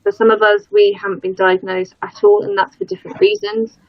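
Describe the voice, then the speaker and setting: A woman's voice: medium pitch, sing-song, a little fast, creaky, no room sound. A woman hosting a casual livestream panel over a video call, chatty and self-deprecating. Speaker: livestream host. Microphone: computer mic on a video call. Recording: dull, lo-fi.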